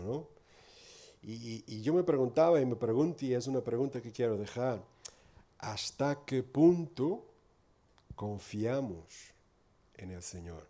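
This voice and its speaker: A man's voice: mid-range voice, dark tone. A man from Portugal preaching in Spanish with a Portuguese accent, giving an earnest, informal sermon full of tag questions.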